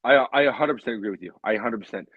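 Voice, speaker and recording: A man's voice: lowish voice, racing, breathy edge, no room sound, phone-quality sound. A man in his late thirties chatting casually as a show co-host, in a rambling way that repeats phrases for emphasis. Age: late 30s. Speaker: co-host of a beer hangout show. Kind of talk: casual chat.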